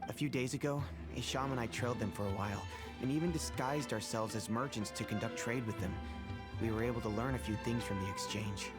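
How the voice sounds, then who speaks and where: A man's voice: mid-range voice, lightly acted. A man voicing a serious mercenary leader. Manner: briefing, measured and serious. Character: steady male mercenary crew leader. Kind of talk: game voice acting. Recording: game audio with music behind.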